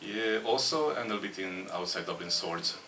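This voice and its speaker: A woman interviewer with an unusually deep voice, asking short questions at a quick clip. She sits back from the mic in a reverberant room, with a clear bed of ambience underneath. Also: noisy background. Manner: brief questions, constant soft backchannel murmurs. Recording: roomy distant sound with clear ambience.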